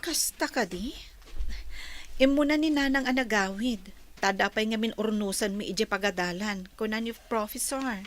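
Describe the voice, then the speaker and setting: A woman's voice: mid-range voice, moderate pace, a little hiss or hum, close to an everyday voice. A woman playing an earnest, friendly young graduate in an audio drama, with a Filipino Ilocano accent.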